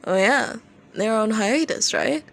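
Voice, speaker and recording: Hot-tempered young male singer read by a woman, curt, dry, creaky, fan fiction storytelling. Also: a little high, animated, takes it slowly, fairly bright tone, exaggerated voice. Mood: mischievous.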